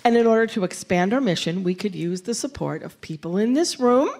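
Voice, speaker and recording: A woman from a community radio station giving a fundraising pitch live on stage. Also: lively intonation, fairly quick, very noisy.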